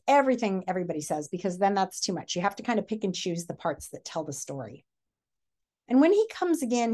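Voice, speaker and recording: A middle-aged woman giving a read-aloud lesson as a teacher, speaking with deliberate storytelling delivery and pausing to pose questions. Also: medium pitch, darkish tone. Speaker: teacher reading a picture book aloud. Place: small furnished living room or hotel room, indoors.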